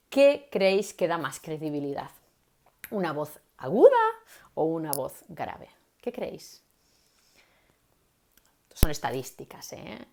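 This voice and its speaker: Lively woman coaching viewers. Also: low voice. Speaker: communication coach. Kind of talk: live-stream teaching talk.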